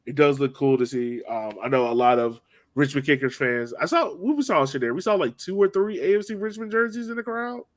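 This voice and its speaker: A man's voice: on the high side, a little fast. A man hosting a sports podcast, chatting informally and slangily as a fan. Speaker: podcast host. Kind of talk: casual sports chat.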